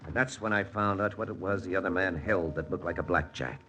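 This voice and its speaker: An actor as a low-voiced hardboiled lieutenant. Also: male voice, a little fast, heavy background noise, lightly acted. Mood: tense, terse. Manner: terse, deadpan first-person narration. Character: hardboiled American lieutenant, wry, jealous, narrating his own spy story. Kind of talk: 1940s radio drama acting. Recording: old radio recording with music underneath.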